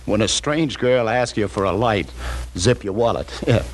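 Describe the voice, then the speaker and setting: A man's voice: on the low side, slight background noise, close to an everyday voice, low-quality recording. A man playing a wry hard-boiled police lieutenant. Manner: brisk, wry, fatherly. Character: wisecracking New York police lieutenant, middle-aged man. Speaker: detective narrator. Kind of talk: 1940s radio acting. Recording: old radio broadcast with faint music.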